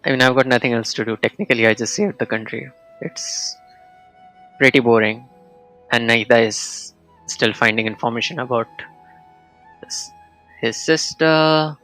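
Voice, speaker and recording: A man giving casual, halting gameplay commentary as a streamer, musing in broken phrases. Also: medium pitch, fairly bright tone, soft background music, rough recording. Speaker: gaming streamer.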